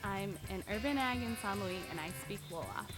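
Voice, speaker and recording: A young woman with an American accent speaks informally as a volunteer. Her voice has an average pitch for a woman, and music sits clearly behind it. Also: animated, a little fast. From Seattle, United States. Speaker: Peace Corps volunteer. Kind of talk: casual interview testimonial.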